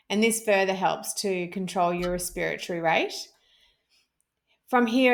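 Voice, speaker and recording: A woman giving an explanatory health talk, calm and clear, stressing key points as she teaches. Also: mid-range voice. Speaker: health educator.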